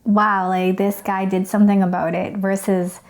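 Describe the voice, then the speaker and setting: A woman whose mother tongue is Cantonese co-hosts a relaxed conversation. She talks things through reflectively, pausing and restarting as she weighs her words. Her voice sits at an ordinary, middle pitch. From China. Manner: thoughtful, hesitant, reflective. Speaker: podcast co-host. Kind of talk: casual conversational podcast.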